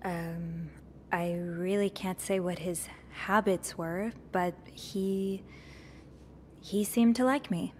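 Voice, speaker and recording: An actress playing a curious, evasive young hotel maid in a mystery game, speaking in an earnest, hesitant way. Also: female voice, fairly low pitch, steady tone, slow, a little hiss or hum. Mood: evasive, nervous. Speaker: video game character. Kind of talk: narrative mystery game voice acting.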